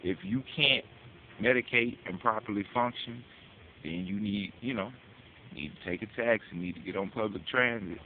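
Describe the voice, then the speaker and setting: A man's voice: on the low side, expressive, moderate pace. An American man giving an informal advocacy monologue as a public address, talking in street slang with casual swearing and rhetorical questions. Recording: tinny phone-like audio with hiss.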